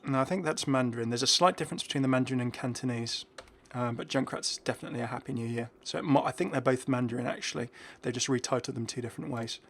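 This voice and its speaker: A man's voice: medium pitch, quick pace, hardly any pauses, fairly bright tone, a little hiss or hum. Man giving casual gaming commentary. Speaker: gaming video host. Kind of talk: casual unscripted game commentary.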